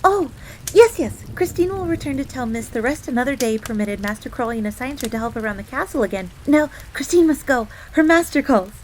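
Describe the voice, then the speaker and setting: A woman's voice: sits high, fairly quick, a little hiss or hum, low-quality recording. A woman voicing a timid, eager fox maid in a fantasy audio roleplay, speaking deferentially and calling herself by name in the third person. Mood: flustered, hurried. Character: timid, eager, easily flustered female fox maid servant. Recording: steady rain ambience under the voice. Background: rain.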